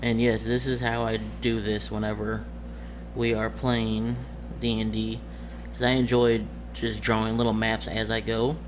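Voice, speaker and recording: A man's voice: on the low side, little pitch movement, unhurried. Man narrating a tabletop game, noisy recording. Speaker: game master.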